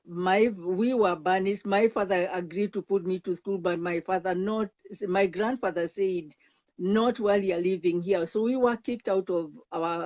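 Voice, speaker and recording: An older Kenyan woman speaks as a guest counselor, earnest and emphatic, stumbling and repeating words as she presses her point. Her voice sits at a middle pitch. The sound is dull and lo-fi. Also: darkish tone. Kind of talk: earnest personal testimony.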